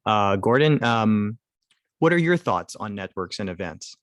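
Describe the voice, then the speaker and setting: A man's voice: fairly low pitch, animated, unhurried, no room sound. A man moderating a panel discussion over a video call, upbeat and friendly. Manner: friendly, upbeat, laughs along.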